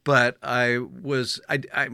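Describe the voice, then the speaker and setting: A middle-aged man hosts an interview in a bright, forward voice. He spoke German as a first language and grew up in Canada, and he stops and restarts often as he thinks aloud. The sound is dry and close, with no room around it. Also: mid-range voice, little pitch movement, vocal fry, clear, resonant tone, no room sound. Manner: halting, with frequent restarts and fillers. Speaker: host. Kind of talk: conversational interview.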